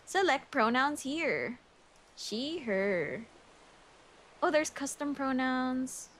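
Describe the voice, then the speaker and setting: A woman's voice: high-pitched, animated, drawn-out words, fairly bright tone, slight background noise. A young adult woman from the Philippines with a Filipino English accent, chatting casually and giggly while thinking aloud on a game livestream. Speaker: streamer.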